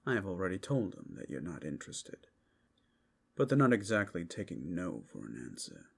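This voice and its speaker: Tiefling messenger, fantasy audio roleplay, male actor. Blunt, businesslike, low gravelly voice. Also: wildly swooping pitch, moderate pace, exaggerated voice. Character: blunt tiefling messenger bringing unwelcome news. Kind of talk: ASMR roleplay acting.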